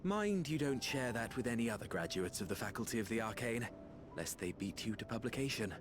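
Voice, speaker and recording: An actor playing a thoughtful, earnest young scholar in a fantasy game, with measured, formal phrasing. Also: male voice, medium pitch, lively intonation, background noise, close to an everyday voice. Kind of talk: scripted game cutscene acting. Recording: prominent orchestral music behind. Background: music with strings.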